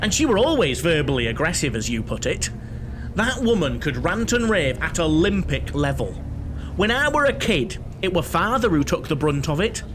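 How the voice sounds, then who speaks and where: A garrulous, lonely Northern English man, with a high, swooping voice. He is naive, apologetic and eager to please, in the manner of an audio play, with clear vehicle noise around him. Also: very animated, moderate pace. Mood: indignant, chatty. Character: chatty, lonely, apologetic middle-aged man dominated by his late mother.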